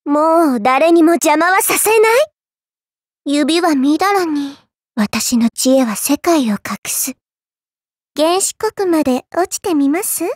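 A woman's voice: extremely high pitch, no echo, studio quality, full character voice. A woman voicing a mischievous, teasing little girl villainess in a mobile game, coy and polite with playful menace. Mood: determined, confident. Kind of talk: Japanese mobile game character voice lines.

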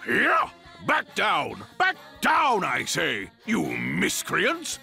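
Booming, gravelly old king. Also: wildly swooping pitch, drawn-out words, bright, forceful tone, soft background music, full character voice. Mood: blustering. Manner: pompous, hammy. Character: big old bearded king, booming and theatrical. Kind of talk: anime game voice acting.

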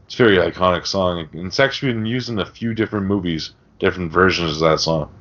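A man's voice: low-pitched, quick pace, a bit bright. A man co-hosting a podcast, discussing film casually in a rambling, sweary, conversational way. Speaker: podcast co-host. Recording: dull, lo-fi podcast audio.

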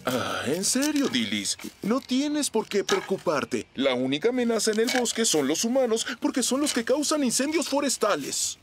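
A man's voice: high-pitched, sing-song, moderate pace, few pauses. A man voicing a fussy, sensible, easily flustered older bus driver in a Latin American Spanish cartoon dub. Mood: dismissive. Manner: reassuring, flustered. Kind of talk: children's cartoon dubbing. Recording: loud music underneath.

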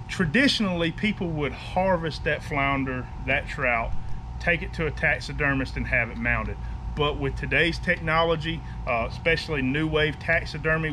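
A man, talking casually to the camera in a driveway and stopping to ask "okay?" as he runs through fishing gear. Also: fairly high pitch. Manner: folksy, emphatic, keeps asking "okay?". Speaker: fishing vlogger. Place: outdoor driveway beside a house. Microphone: camera mic at a few feet. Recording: outdoor camera audio with background noise.

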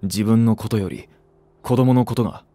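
An actor playing a quiet, serious young male nursery teacher with a subdued, terse manner in an anime drama. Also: lowish voice, normal speed, natural voice.

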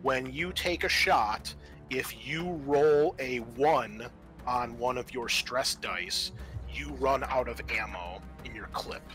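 A man's voice: mid-range voice, takes it slowly, a bit bright. A man acting as game master, patiently explaining tabletop roleplaying rules in a casual, conversational way. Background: music.

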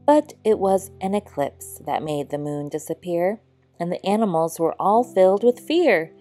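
A woman reading a children's story aloud in a measured, expressive storybook style. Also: lowish voice. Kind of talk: scripted read-aloud narration for children.

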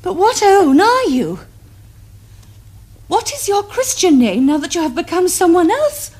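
A gushing young Victorian society lady, with an extremely high voice. She speaks upper-class English in an affected, precise, theatrical way, as in a television period comedy. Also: very animated, measured pace, a little mellow, heavily acted. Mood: coy, questioning. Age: young adult.

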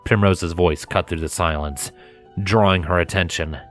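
Low-voiced male story narrator. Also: steady tone, normal speed, faint music behind.

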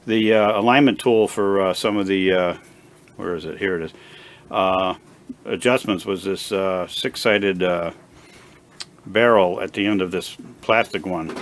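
A man giving a casual hands-on demonstration, thinking aloud in a halting, unhurried way. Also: sits low, steady tone. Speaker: hobbyist radio repairer demonstrating equipment. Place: indoor home electronics workbench. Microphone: handheld camera mic. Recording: noisy handheld camera recording in workshop.

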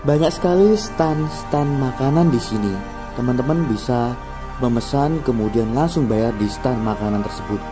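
A man's voice: medium pitch, normal speed. A man, chatty and upbeat, narrating casually as he walks through a busy food court and cafe, filmed on a handheld camera. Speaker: vlogger touring a food court. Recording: loud background music over the voice. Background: loud music, crowd chatter.

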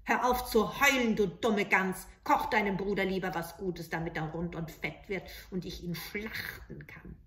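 A woman's voice: medium pitch, moderate pace, few pauses, fairly bright tone, close to an everyday voice. Woman acting a cruel, bossy old witch. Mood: scolding, bossy. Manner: barking orders and insults.

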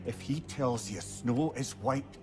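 A man's voice: medium pitch, slow, darkish tone, soft background music, natural voice. An actor playing an indignant, silver-tongued wise man's severed head in a video game, emphatic and articulate. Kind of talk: cinematic video game acting.

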